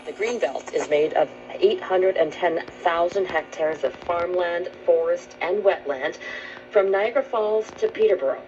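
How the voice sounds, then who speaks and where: A man's voice: sits high, moderate pace, darkish tone. A man reading scripted news, heard through an old radio speaker with a muffled, lo-fi sound.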